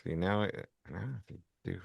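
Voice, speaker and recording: A man co-hosting a casual chat, speaking haltingly as he mulls things over. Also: sits low, creaky, breathy edge, no echo, highs cut off.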